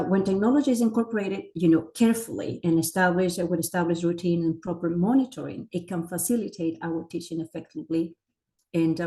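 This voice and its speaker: Low, mellow woman. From Chile. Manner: many fillers and self-corrections. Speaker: educator presenting a teacher training. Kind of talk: conference presentation.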